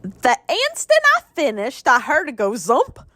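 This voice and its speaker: A woman reading a rhyming children's story aloud in an expressive, theatrical, rhythmic style. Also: high-pitched, unhurried, no room sound. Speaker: children's book reader.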